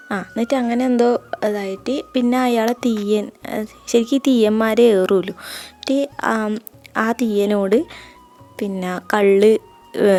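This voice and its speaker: A woman vlogger with a North Kerala, Kannur accent and a soft-edged, mellow tone. She talks informally, as if recounting from memory, feeling her way through what she says in a relaxed, chatty style. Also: medium pitch. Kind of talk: casual storytelling and chat while walking.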